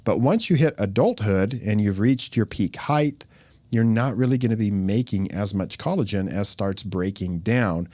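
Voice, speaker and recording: A man hosting a podcast as a dermatologist, explaining things in a relaxed, conversational way. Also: on the low side, normal speed, no echo. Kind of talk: casual podcast chat.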